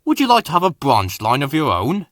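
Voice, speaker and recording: A man's voice: wildly swooping pitch, fairly quick, a bit bright, no echo, studio quality. A man voicing a commanding storybook railway controller, authoritative and blustery but kindly. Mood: kindly, brisk. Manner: booming, authoritative.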